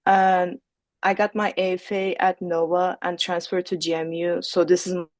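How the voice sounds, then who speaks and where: A woman's voice: fairly low pitch, little pitch movement, normal speed, darkish tone, no room sound. A young woman from Turkey introducing herself as a student on a video call, plain and a little unsure. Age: young adult. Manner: plain, brief, slightly unsure. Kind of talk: self-introduction in class.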